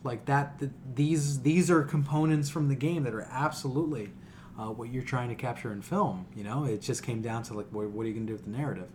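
A man co-hosting a podcast, talking casually and opinionatedly, with animated exasperation and casual swearing. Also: mid-range voice, brisk, slight background noise. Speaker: podcast co-host.

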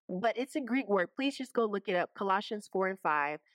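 A woman's voice: medium pitch, animated, normal speed, no room sound. A woman giving a casual Bible teaching, talking conversationally and checking in with her listeners. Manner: conversational, keeps checking in with "okay?" and "right?".

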